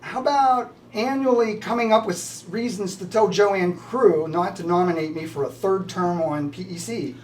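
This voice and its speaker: A man's voice: sits high, moderate pace, few pauses. An older man, a retiring college professor, giving prepared farewell remarks. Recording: distant mic with slight background noise.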